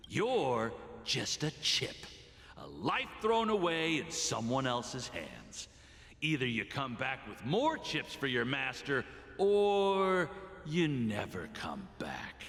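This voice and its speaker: Cruel slave master for a game, gravelly, slow mocking drawl, big reverb and music. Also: male voice, medium pitch, wildly swooping pitch, fairly bright tone, heavily acted. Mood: mocking, cold. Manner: theatrical, drawn-out taunting with scoffs. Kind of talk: video game character acting. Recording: long reverb, music behind.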